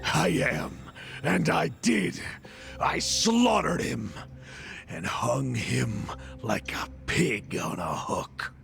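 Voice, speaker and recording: An actor playing a sadistic, gloating gangster villain in an English video game dub, with a gravelly voice and a sneering delivery. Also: male voice, mid-range voice, sing-song, extremely slow, a bit bright, faint music behind, full character voice. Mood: manic gloating.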